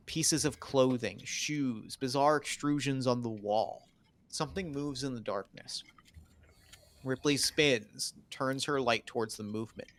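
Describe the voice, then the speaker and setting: A man's voice: mid-range voice, a bit bright. A man narrating screenplay stage directions in dramatic, clipped phrases for a table read.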